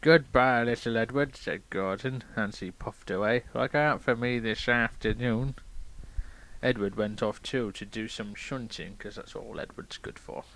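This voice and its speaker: A man playing a grumpy, self-important railway controller in a comedy, argumentative and deadpan, given to digressions. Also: medium pitch, a little slow, poor audio. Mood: pompous, dismissive. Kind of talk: improvised comedy banter and story reading.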